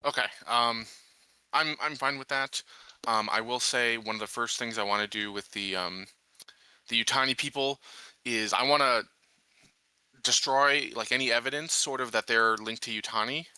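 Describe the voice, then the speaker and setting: A man's voice: mid-range voice, normal speed, bright, forceful tone, smooth, dry recording. A man casually talking through plans as a player in a tabletop role-playing game.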